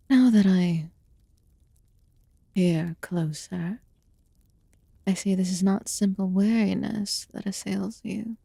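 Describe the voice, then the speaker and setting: A woman playing an ancient, aloof fae in a fantasy ASMR roleplay, murmuring softly and formally with some whispered phrases. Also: medium pitch, gravelly, no room sound, studio quality, full character voice. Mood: grave, musing. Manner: slow, deliberate, archaic formal wording. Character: ancient aloof female fae recluse, haughty yet quietly caring.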